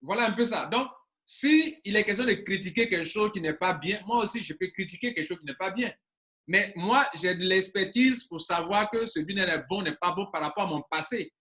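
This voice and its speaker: A man in his forties speaks to his audience as a business coach. His voice sits high for a man and rings bright and forceful. He drives points home by repeating them. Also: animated, a little fast. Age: 40s. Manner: emphatic, repeating phrases for stress. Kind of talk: casual talk to viewers.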